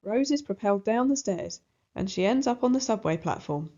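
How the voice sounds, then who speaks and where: A woman narrating a children's storybook aloud in an expressive style. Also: medium pitch, fairly quick, muffled recording.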